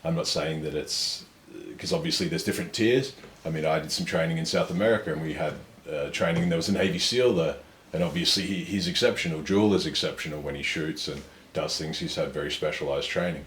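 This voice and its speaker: An extremely deep-voiced young man, speaking in a measured and self-assured way. His voice sounds roomy, and a faint ambient hum sits behind it. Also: a bit bright. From Australia. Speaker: guest. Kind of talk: casual conversation. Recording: echoey room with faint ambience.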